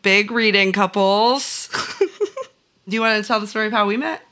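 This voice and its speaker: A woman's voice: mid-range voice, animated, normal speed, bright, forceful tone. A woman with an American accent chatting casually as a podcast host. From central Illinois, United States. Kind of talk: casual chat.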